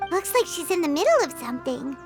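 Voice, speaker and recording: A woman's voice: high voice, sing-song, fast talker, over music. A woman voicing a small, chirpy, bubbly childlike companion in a video game. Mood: curious. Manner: chirpy, refers to self in third person. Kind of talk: video game character acting.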